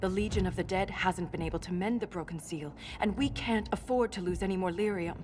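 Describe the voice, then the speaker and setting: An actress playing an earnest, formal dwarven scholar in a video game, speaking precisely and with measured care. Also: female voice, mid-range voice, noisy background, lightly acted. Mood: grave, concerned. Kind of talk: video game cutscene acting.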